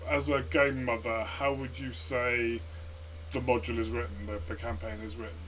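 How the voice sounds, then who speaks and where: Mid-pitched man, slightly distant, chatting. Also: little pitch movement, moderate pace, fairly bright tone. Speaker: player and co-reviewer. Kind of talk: casual review chat.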